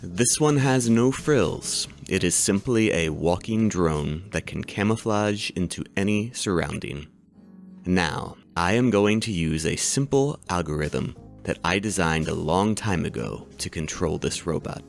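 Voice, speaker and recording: Deep-voiced professor, measured lecturing, faint music bed. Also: male voice, expressive, vocal fry, exaggerated voice. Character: calm adult male robotics professor. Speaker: doctor teaching a robotics class. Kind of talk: audiobook character reading.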